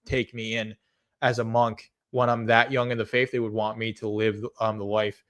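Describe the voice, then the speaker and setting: A man, hosting a live stream in casual chat, rambling and hesitant with many fillers and restarts, earnest and softly amused as he answers chat. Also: on the low side, flat delivery, normal speed. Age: 20s. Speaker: livestream host.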